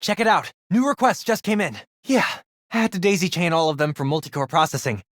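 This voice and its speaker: A man playing a nerdy, excitable teenage boy in a video game, the hero's tech-savvy best friend, with a casual, wisecracking delivery. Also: fairly high pitch, a little fast, no echo, studio quality. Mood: focused, upbeat.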